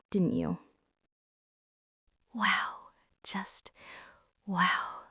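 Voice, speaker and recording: A bossy college girl with a secret crush, acted out by a woman, speaks in a slightly high, mellow voice, very close and dry. She is dramatic and flustered, teasing her listener as in an intimate girlfriend ASMR roleplay. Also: no echo. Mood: indignant, overwhelmed, then awed, tender. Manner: dramatic, teasing, breathy whispers mixed with indignant exclamations.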